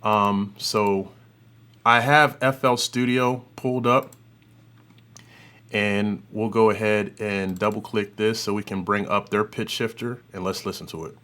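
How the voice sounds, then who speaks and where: A man hosting an audio software tutorial, giving an informal, unscripted demonstration and thinking aloud as he works. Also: fairly low pitch, fairly even intonation, measured pace. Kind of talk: informal demonstration and review commentary.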